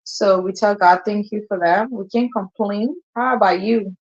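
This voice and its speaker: A Liberian woman hosting a casual live chat, telling stories in a relaxed way with colloquial slang and frequent laughter. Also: moderate pace. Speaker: host. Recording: muffled low-fidelity stream audio.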